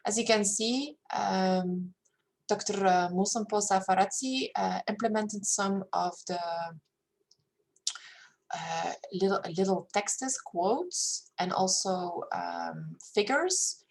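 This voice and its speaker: A woman's voice: mid-range voice, slow pace, vocal fry. Flemish-accented woman reading aloud. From Belgium. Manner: careful, steady reading with hesitant fillers when speaking freely. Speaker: reader of a paper. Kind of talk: reading aloud a spiritual paper. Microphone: screen-share recording.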